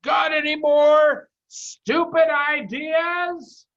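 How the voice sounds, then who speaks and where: A tiny, pot-bellied, belligerent storybook villain, voiced by a man, shouting in a raised pitch with drawn-out words. The recording is a little dull. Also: steady tone, heavily acted. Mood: aggressive, mocking.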